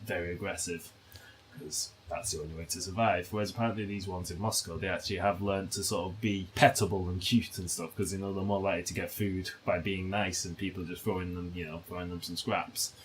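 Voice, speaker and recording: A man's voice: low-pitched, steady tone, brisk, a little hiss or hum, poor audio. A British man co-hosting a comedy podcast, telling rambling, sweary anecdotes in a casual way. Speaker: podcast co-host.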